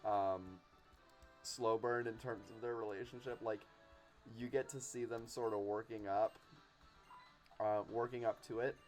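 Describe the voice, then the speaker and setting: A man's voice: on the low side, fairly even intonation, a little slow, pauses often. Man chatting casually over game music. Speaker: podcast guest. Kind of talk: casual chat about cartoons.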